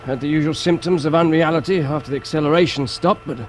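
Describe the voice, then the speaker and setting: An actor playing an eager, deferential spaceship engineer with a creaky voice and obliging manner, in 1950s radio science fiction. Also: male voice, a little high, steady tone, fast, natural voice. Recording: noisy vintage 1950s radio recording.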